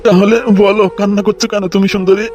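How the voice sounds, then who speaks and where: A man's voice: high-pitched, monotone, fast talker, natural voice. A man voicing a bossy, flirtatious local politician in a comic cartoon, often repeating his words in a gushing way. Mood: anxious, coaxing. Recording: loud music behind the voice.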